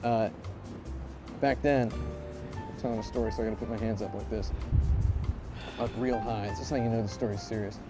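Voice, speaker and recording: A man in his thirties from Indiana telling a self-mocking anecdote in a rambling, animated way, as a video game reviewer. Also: lowish voice, a little fast, pauses often. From Indiana, USA. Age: 30s. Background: music.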